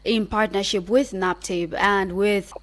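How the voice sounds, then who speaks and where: A woman's voice: medium pitch, steady tone, unhurried. A woman with a Nigerian accent hosting a live radio phone-in interview from a studio. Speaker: radio host.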